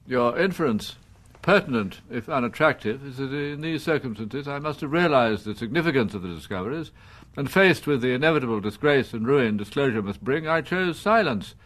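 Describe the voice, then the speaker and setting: A proud old English judge played by a male actor in a radio play. He is coldly courteous and disdainful, setting out each phrase in formal, measured legal language, at a middling pitch. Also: expressive, a bit bright, lightly acted. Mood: wounded, coldly ironic. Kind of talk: radio drama acting.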